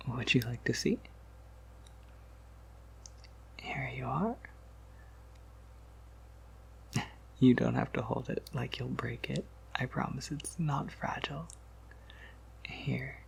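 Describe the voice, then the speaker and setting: A man voicing a gentle, wry vampire apothecary in a breathy ASMR whisper, musing softly and reassuringly. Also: on the high side, exaggerated voice. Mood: gently reassuring. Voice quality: breathy whisper with creaky edges. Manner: gentle, reassuring, hesitant musing with soft hums. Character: soft-spoken, patient, wry male vampire apothecary, collector of oddities.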